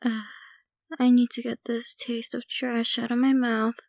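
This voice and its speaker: A woman acts out a possessive yandere vampire girlfriend in an ASMR roleplay. Her voice is on the high side with plenty of fry in it, and she takes her time, doting and sinister at once. The sound is close and very dry. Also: little pitch movement, a little mellow, no room sound. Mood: casually sinister. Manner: unhurried, doting yet possessive, casually sinister. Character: possessive centuries-old yandere vampire girlfriend, sweetly menacing. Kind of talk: ASMR roleplay, intimate scripted monologue to the listener.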